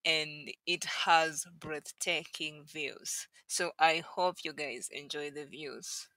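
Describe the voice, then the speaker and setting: A woman hosting her channel, narrating a hiking video with a slow, careful delivery, pausing with fillers. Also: low-pitched, fairly even intonation, pushed and bright, dry recording, rough recording. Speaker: channel host.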